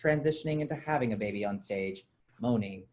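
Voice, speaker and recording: Woman narrator, scripted stage directions, animated intonation, staged play reading. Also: a bass voice, fairly quick.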